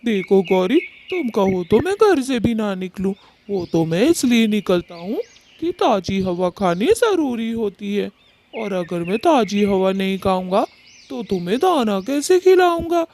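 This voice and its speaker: A woman voicing a sly male cartoon bird husband, pleading innocence in a flattering, self-pitying manner. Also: medium pitch, unhurried. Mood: flattering, wheedling.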